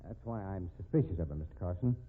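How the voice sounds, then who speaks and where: Shrewd male businessman, vintage radio drama: very low voice, wide inflection, dull noisy recording. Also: expressive, quick pace. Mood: feigned worry. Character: calm, shrewd male businessman helping blackmail victim. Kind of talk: 1950s radio drama acting.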